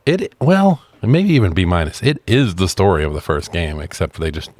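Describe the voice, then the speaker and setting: Very deep-voiced, sweary man chatting. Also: animated, fairly quick, darkish tone. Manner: informal, sweary. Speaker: podcast co-host reviewing films. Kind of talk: casual film review chat.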